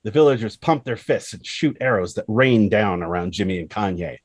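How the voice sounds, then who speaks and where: A man narrates screenplay action with dramatic, expressive delivery in short punchy phrases. His voice is low and a little husky, recorded very dry. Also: brisk, no room sound. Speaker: screenplay reader.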